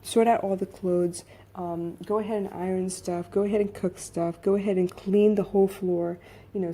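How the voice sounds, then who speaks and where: A woman's voice: on the low side, dark tone, breathy edge. A young woman life coach with a soothing voice, giving a blunt, casual motivational monologue on her podcast and swearing freely.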